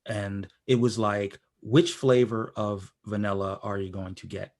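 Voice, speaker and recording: A man hosting a conversational pop-culture podcast, speaking in a measured, deliberate way and often repeating phrases as he goes. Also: fairly low pitch, flat delivery, a little mellow. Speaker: podcast host.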